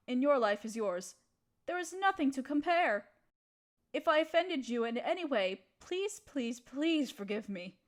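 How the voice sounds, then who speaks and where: A woman playing a gentle, proper young noblewoman who speaks politely and coyly to her servant in an intimate roleplay audio drama. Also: high-pitched. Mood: apologetic, flustered. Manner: polite, formal, period phrasing, coyly teasing.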